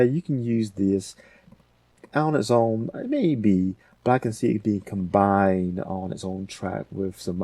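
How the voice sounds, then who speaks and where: A man with a very low, dark and husky voice explains software steps in a casual tutorial. He thinks aloud informally, and the sound is close and dry. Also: no echo. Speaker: audio editing tutorial host.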